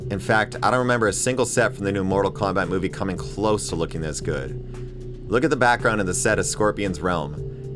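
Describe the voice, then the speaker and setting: A man delivering an opinionated, emphatic scripted video essay as host, with casual swearing. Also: low voice. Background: music bed under voice-over.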